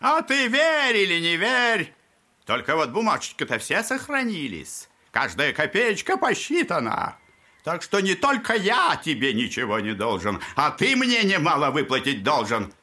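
A man's voice: on the high side, wildly swooping pitch, unhurried, pushed and bright, heavily acted. An actor playing an old debauched father in a Russian audio drama, mocking and wheedling in a clownish, mock-affectionate manner. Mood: smug. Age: older.

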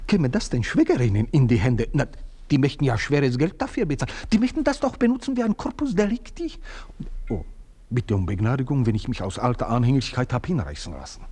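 Cunning servant, radio drama; husky, very lively pitch. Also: male voice, fairly quick. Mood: insinuating. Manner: sly, insinuating, fawning. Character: scheming, cunning male manservant, middle-aged. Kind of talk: radio play acting.

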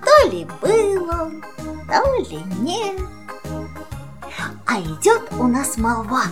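A woman's voice: extremely high pitch, huge pitch swings, slow, music in the background, heavily acted. A woman storyteller telling a children's tale in verse in a singsong manner. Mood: storytelling.

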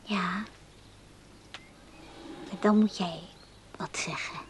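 A woman's voice: mid-range voice, steady tone, drawn-out words, a little hiss or hum. An actress in a radio play voicing a shy, anxious young woman who speaks in hesitant, short answers. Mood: hushed, tender.

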